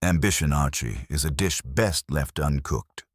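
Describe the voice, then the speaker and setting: A man voicing an imperious, unhurried detective who never leaves his chair, speaking in a cold, precise, commanding way. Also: a bass voice, husky, no echo, studio quality, heavily acted. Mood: dry.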